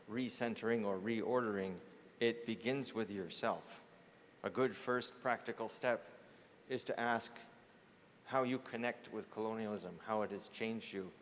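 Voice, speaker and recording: A man moderating a conference panel, speaking in a measured way as he closes the session. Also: fairly low pitch, a bit bright. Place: large conference hall. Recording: echoey conference hall, faint hum.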